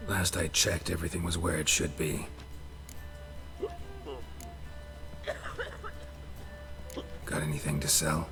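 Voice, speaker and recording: An actor playing a gruff, world-weary monster hunter in a video game, terse and deadpan with a gravelly voice. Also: male voice, extremely low pitch, brisk, over music. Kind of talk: video game character acting.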